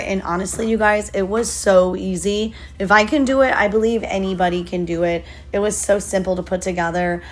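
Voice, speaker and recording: A woman in her thirties with a hoarse voice, chatting casually and upbeat through a vlog voiceover. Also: medium pitch. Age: 30s. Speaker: lifestyle vlogger.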